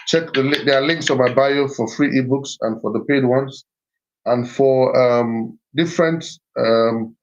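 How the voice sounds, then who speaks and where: A man's voice: medium pitch, a little mellow, lo-fi sound. A man hosting a live stream as a business mentor, talking in a repetitive, urging way with many fillers. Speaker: livestream host and business mentor.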